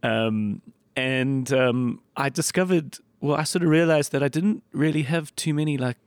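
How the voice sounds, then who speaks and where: A middle-aged South African man with a slightly croaky voice, hosting a casual chat and telling anecdotes in a self-deprecating way. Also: mid-range voice, moderate pace, no room sound. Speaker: podcast host.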